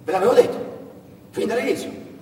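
A man's voice: very high voice, quick pace, mellow, voice pushed up. A man playing a brash, impatient, bossy truck driver in a stage drama, with an urging delivery. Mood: boastful, urging. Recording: echoey hall, distant mic, background noise.

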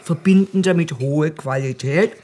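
A man's voice: fairly high pitch, normal speed, darkish tone, close to an everyday voice. An actor playing a self-important, evasive male politician in a radio drama, repeating himself with hesitant interjections. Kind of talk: German radio crime drama acting.

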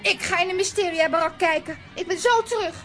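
A woman's voice: extremely high pitch, a little fast, a bit bright, soft background music, some chatter behind. An actress playing a plucky, eager young girl adventurer in a children's radio play. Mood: eager, determined.

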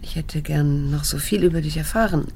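A middle-aged daughter in a radio play. Her voice is husky with a heavy creak. She speaks in a measured, cool, introspective way, bitter and self-controlled. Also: sits low, a little mellow, exaggerated voice. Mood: cool, testing. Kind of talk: radio drama dialogue and inner monologue.

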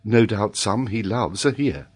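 A man voicing a kind, gently concerned noble lady in a storybook reading. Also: on the low side, animated, a little slow, slightly rough. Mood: pitying.

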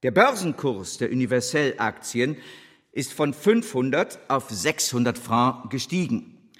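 Casting: self-assured, impatient bank chief, husky, formal and emphatic, radio drama in a reverberant hall. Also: male voice, medium pitch, very animated, a little slow, a bit bright. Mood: formal, proud. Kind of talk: German radio drama acting.

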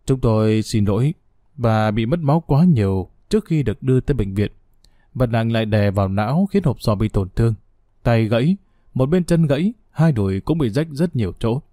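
A man's voice: mid-range voice, expressive, fairly quick, warm tone, no echo, clean studio sound, close to an everyday voice. A man voicing a middle-aged hospital doctor who speaks in a formal, somber way.

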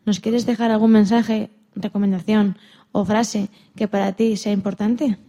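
A woman working as a radio interviewer. Her voice has a dark, mellow tone and sits at a medium pitch. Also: fairly even intonation, measured pace.

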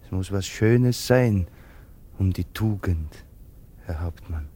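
An actor playing a poor, humble soldier in a radio drama, haunted and fearful, with halting, plain folk speech. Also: male voice, low-pitched, mellow, breathy edge, heavily acted. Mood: humble, earnest.

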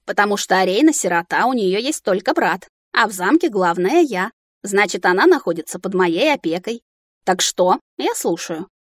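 A woman voicing a sharp-tongued, bossy young heroine in a fantasy audiobook, exclamatory and theatrical. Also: mid-range voice, expressive, moderate pace, dry recording, studio quality, close to an everyday voice. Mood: commanding. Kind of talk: audiobook character acting.

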